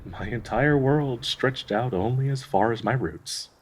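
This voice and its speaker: A man playing a gentle, grieving dryad in an intimate audio roleplay, speaking in a tender, halting, wistful way with a husky, breathy voice. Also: mid-range voice, close to an everyday voice, rough recording. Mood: pleading, insecure. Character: gentle, grieving male dryad devoted to a sick companion.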